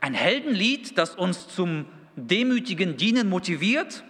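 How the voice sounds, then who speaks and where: A man in his thirties from Kazakhstan, a youth pastor giving a sermon, chatty with fillers at first, then reading a passage slowly and with emphasis. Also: high-pitched, sing-song, a bit bright. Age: 30s. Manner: conversational with many fillers, then reads aloud slowly and deliberately. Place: church hall. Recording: long hall reverb.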